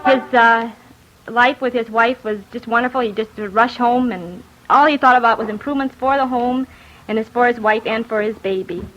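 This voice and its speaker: A woman giving earnest, slightly halting testimony as a witness. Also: on the high side, background noise. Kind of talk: recorded personal testimony. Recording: dull old radio recording.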